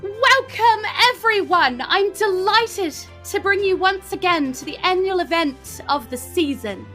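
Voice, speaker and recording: A woman voicing a grand, excited fashion show announcer with a theatrical, emphatic, formal delivery in a tabletop game. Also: extremely high pitch, measured pace, faint music behind, voice pushed up, heavily acted. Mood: grand, delighted.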